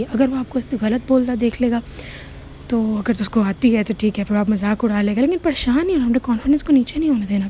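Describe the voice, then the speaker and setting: A woman with a Pakistani Urdu accent talks viewers through English-learning tips in a relaxed, chatty way, checking in often and slipping English words into her speech. Her voice sits a little high yet sounds soft and mellow. A faint hiss runs under the recording. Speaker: online English teacher. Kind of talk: casual advice talk to viewers.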